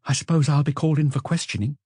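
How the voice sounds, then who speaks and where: A man voicing an anxious, guarded young woman in an audiobook, soft-spoken and hesitant as she answers police questions. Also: on the high side, steady tone, fast talker, breathy edge, no room sound, studio quality, voice pushed up. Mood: worried.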